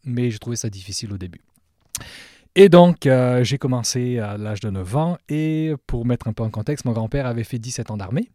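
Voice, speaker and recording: A man from France with a French accent, a guest trumpeter and music teacher telling his story in a relaxed, self-mocking way with many fillers. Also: medium pitch, normal speed, no echo, studio quality. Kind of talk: relaxed podcast interview.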